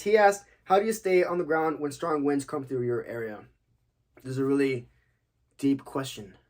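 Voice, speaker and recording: A young man from Texas hosting a podcast, answering questions in a laid-back, slangy, joking way with casual swearing. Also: on the high side. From United States, Texas. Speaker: podcast host, active-duty military.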